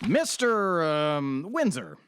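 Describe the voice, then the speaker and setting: A man's voice: fairly high pitch, big pitch swings, slow pace, a bit bright, smooth, dry recording, studio quality, exaggerated voice. A man playing a formal, polite, slightly hesitant middle-aged defense lawyer in an audio drama.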